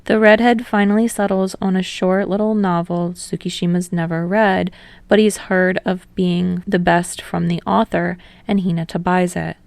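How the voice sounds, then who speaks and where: Low-voiced woman narrating fiction, slightly creaky. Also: flat delivery, moderate pace, a little mellow, no echo. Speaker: audiobook narrator.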